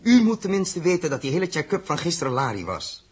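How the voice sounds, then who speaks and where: An actor playing a suspicious, commanding space captain in a 1960s Dutch radio drama, clipped and confrontational. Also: male voice, on the high side, sing-song, fast, darkish tone, breathy edge. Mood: accusing.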